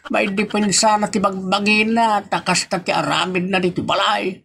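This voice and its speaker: A man's voice: sits high, flat delivery, normal speed, vocal fry, noisy background. A man voicing a grumbling middle-aged husband and father in a comedic audio drama, scolding and teasing his family.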